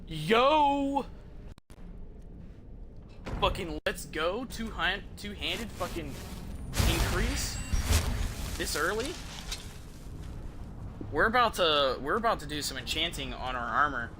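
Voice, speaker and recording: A man's voice: a little high, lively intonation, slow pace, pauses often, background noise. A man doing casual, profane and slangy commentary as he livestreams a video game. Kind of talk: casual gameplay commentary to stream viewers.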